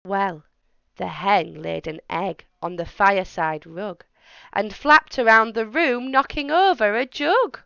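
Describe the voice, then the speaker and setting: A woman reading a rhyming picture book aloud to children in an expressive, explanatory manner. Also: mid-range voice, takes it slowly, gravelly, clean tone, no echo, muffled recording. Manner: explains words and asks young listeners questions. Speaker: children's story reader.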